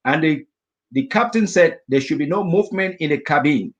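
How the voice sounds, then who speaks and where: A middle-aged man from Africa telling a vivid personal story as a guest speaker, acting out his own inner thoughts in lively asides. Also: a little high, normal speed, highs cut off. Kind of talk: storytelling talk.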